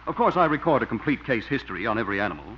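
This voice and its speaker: An actor playing a dry, professional veterinarian with formal, measured questions in a 1950s radio comedy. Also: male voice, mid-range voice, big pitch swings, lightly acted. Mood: formal, businesslike. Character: dry, professional male veterinarian, coolly skeptical. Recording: muffled old radio recording, faint noise.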